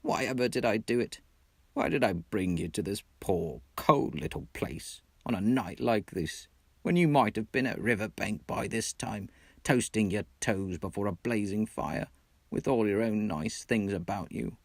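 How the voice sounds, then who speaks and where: A man's voice: fairly low pitch, unhurried, vocal fry, no room sound. A man voicing a doleful, self-reproaching male mole in a storybook, moaning dismally in a put-on British accent.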